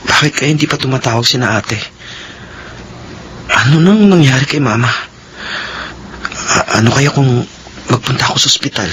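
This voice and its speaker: Actor as a worried young son, mid voice, earnest; hissy old radio recording. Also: male voice, medium pitch, normal speed, long pauses, a bit bright, natural voice. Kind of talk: classic radio drama acting.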